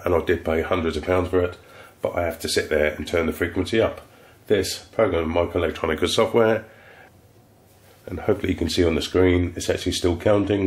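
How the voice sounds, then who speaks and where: Deep-voiced man with a stuffy cold. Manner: relaxed, rambling, restarts phrases. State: congested from a cold. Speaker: electronics repair technician. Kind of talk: casual workshop tutorial. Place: electronics workbench, indoors.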